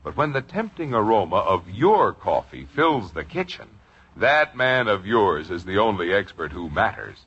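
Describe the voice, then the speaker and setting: A man's voice: lowish voice, big pitch swings, moderate pace, fairly bright tone, breathy edge. A man announcing a 1950s radio commercial in an emphatic, persuasive manner. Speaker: radio announcer reading a sponsor's ad. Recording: dull vintage radio recording.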